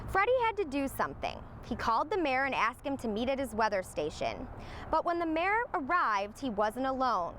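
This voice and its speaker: A woman reading a children's story aloud as scripted narration, in an expressive manner. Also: sits high, normal speed, slight background noise. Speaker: storybook reader, meteorologist.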